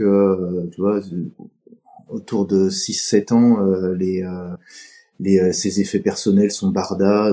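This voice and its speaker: A middle-aged man from France's Atlantic coast, a podcast guest talking in a halting, reflective way that often pauses mid-sentence. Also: on the low side, mellow. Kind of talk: casual interview talk.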